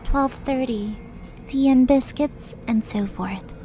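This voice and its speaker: A woman's voice: sits high, takes it slowly, dark tone, slight background noise, low-quality recording. A woman playing a sadistic, flirtatious demon princess in an ASMR roleplay audio drama, teasing and mock-sweet in a theatrical way. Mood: teasing, sarcastic. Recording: music under the voice.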